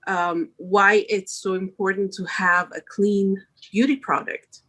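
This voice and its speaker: A woman's voice: mid-range voice, level tone, slow, fairly bright tone. Woman being interviewed. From Switzerland. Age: middle-aged. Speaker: podcast guest, makeup artist. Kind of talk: conversational interview answers.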